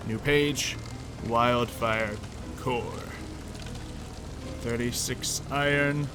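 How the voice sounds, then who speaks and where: Man, medium pitch, casual gaming host, rambling think-aloud delivery, light roleplay, clear background music. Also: fairly even intonation, extremely slow.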